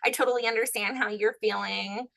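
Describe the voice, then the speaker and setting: A woman's voice: fairly high pitch, fairly even intonation, a little fast, gravelly, fairly bright tone, no echo. A woman teaching a live online workshop on a video call, upbeat and encouraging and often checking that her students follow. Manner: upbeat, encouraging, asks for understanding. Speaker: online trainer.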